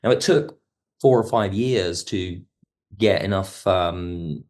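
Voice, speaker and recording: A man speaking as an expert guest in an interview, explaining things in a measured, digressive way. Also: low-pitched, a little mellow. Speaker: podcast guest, television history expert. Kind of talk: conversational interview commentary.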